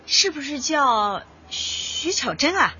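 An actress in a radio drama playing an eager young community officer, expressive and outspoken. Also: female voice, high-pitched, takes it slowly, highs cut off, a little hiss or hum, exaggerated voice. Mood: friendly. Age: young adult.

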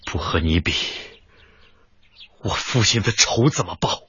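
An actor playing a grim, resolute martial artist son bent on revenge in a radio drama, speaking intensely and deliberately in a hoarse, breathy voice. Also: male voice, sits low, animated, highs cut off, background noise. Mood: grim, vengeful.

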